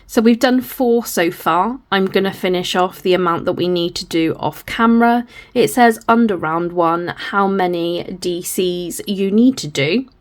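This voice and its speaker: Hoarse woman teaching crochet. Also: fairly low pitch, a little slow, few pauses. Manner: patient, apologetic, talks through each hand movement. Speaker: crochet tutor. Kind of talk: step-by-step craft tutorial.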